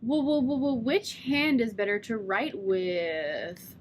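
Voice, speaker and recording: Young boy with a stutter, read by a woman; slow, drawn-out repeated sounds, fairly high voice. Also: a little hiss or hum. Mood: joking.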